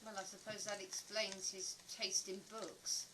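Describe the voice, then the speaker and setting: A woman's voice: medium pitch, flat delivery, a little slow, bright, forceful tone, slight background noise, rough recording. A woman playing a wary, sceptical loner in an audio drama, questioning in a dry, guarded way. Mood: suspicious, dry. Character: wary, sceptical woman living alone outside society. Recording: music under the voice. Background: music.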